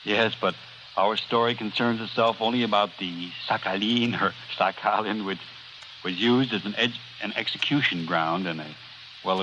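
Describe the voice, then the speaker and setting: A man presenting a 1940s radio drama show as its writer and host, earnest and slightly halting. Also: on the low side, bright, forceful tone. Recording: muffled, noisy old radio broadcast recording.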